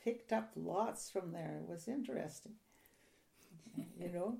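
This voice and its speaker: An older woman from a Ukrainian-speaking home, reminiscing in an oral history interview in a soft, halting, rambling way. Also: on the low side, vocal fry.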